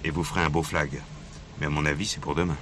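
An actor playing a dogged, streetwise police inspector in a French radio play, insistent, deferential yet cheeky. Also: male voice, rumbling low, flat delivery, fast talker. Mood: confiding, determined. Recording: noisy archive drama recording, vehicle ambience.

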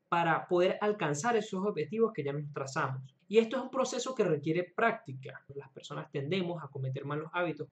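A man in his twenties talking directly to camera as an online host, explaining self-improvement advice earnestly in a small room at home. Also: sits high. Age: 20s.

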